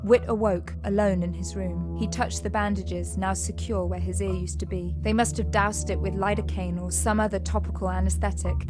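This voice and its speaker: Woman narrating drama over music. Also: medium pitch.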